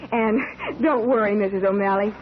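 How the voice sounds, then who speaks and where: A woman's voice: mid-range voice, moderate pace, darkish tone, close to an everyday voice. An actress playing a cheerful, polite young career woman in a 1940s radio drama. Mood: bright, eager. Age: young adult. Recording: noisy old radio broadcast recording.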